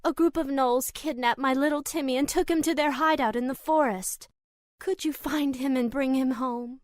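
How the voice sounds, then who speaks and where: A woman's voice: high voice, normal speed, dry recording, clean studio sound, close to an everyday voice. An actress voicing a distraught peasant mother in a fantasy video game, pleading for help.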